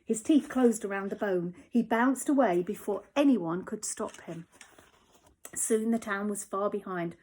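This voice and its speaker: A primary school teacher reading a children's story aloud at home, expressive, dropping to whispers for the suspenseful parts. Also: female voice, medium pitch, unhurried, low-quality recording.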